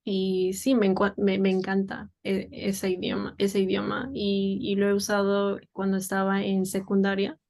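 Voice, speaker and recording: A woman's voice: medium pitch, monotone, moderate pace, vocal fry, few pauses, a little mellow. A woman from Peru, a children's English teacher, talking in a casual interview with many fillers and restarts. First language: Spanish.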